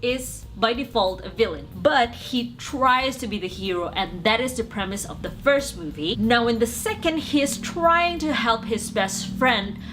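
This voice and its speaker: A woman's voice: fairly high pitch, unhurried, hardly any pauses, fairly bright tone, a little hiss or hum. A young woman from Indonesia, speaking English with an Indonesian accent, chatting casually and enthusiastically as a movie reviewer on her channel, rambling a little as she goes.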